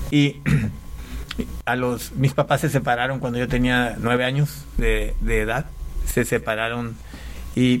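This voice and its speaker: A man from Panama, a pastor and guest, telling his faith story in conversation, halting with frequent restarts. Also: medium pitch, slight background noise. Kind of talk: conversational testimony.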